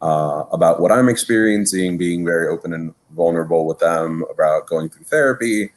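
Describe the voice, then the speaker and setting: A man from Miami speaks in a very deep, thoughtful voice. He sounds open as he talks as a panelist in a casual discussion. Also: normal speed.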